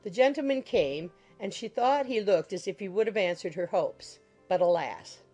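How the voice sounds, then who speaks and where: A woman's voice: mid-range voice, lively intonation, normal speed, warm tone, slightly rough, faint music behind. A woman reading scripted literary narration for a dramatized audiobook.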